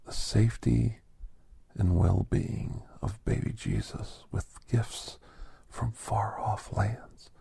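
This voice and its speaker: Man acting as gentle reflective doctor, ASMR storyteller, very husky breathy whisper, dry close sound, deliberate pauses. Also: lowish voice, fairly even intonation, dry recording, heavily acted. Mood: hushed, reverent. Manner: hushed, deliberate, with long pauses between short phrases. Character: gentle reflective male doctor telling a holiday alien story. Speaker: narrator of an ASMR story podcast.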